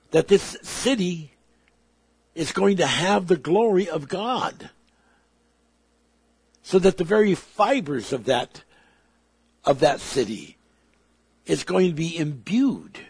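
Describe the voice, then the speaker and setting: A man's voice: fairly high pitch, no room sound. A man preaching a sermon, emphatic, with his volume swelling and dropping. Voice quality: gravelly, husky.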